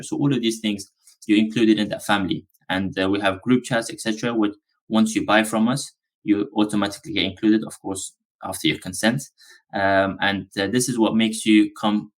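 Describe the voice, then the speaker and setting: A man from Afghanistan, a business founder being interviewed on a podcast, talking in a relaxed, rambling way with many ums and uhs. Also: lowish voice, rough recording.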